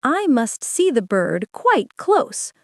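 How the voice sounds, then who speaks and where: A woman's voice: high voice, big pitch swings, slow, dry recording, studio quality, heavily acted. A woman voicing a cheerful, simple-hearted shoemaker who exclaims eagerly. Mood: happy.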